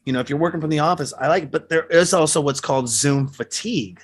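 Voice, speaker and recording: A man's voice: a little high, quick pace, slightly rough. A man hosting an interview, talking casually with lots of fillers. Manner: rambling, many fillers. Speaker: interview host.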